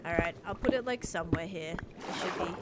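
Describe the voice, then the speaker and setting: A woman's voice: fairly low pitch, brisk, very noisy. A young woman, a little congested, chatting casually on a live stream and reading chat aloud in a rambling way. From Australia. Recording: music playing underneath. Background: background music.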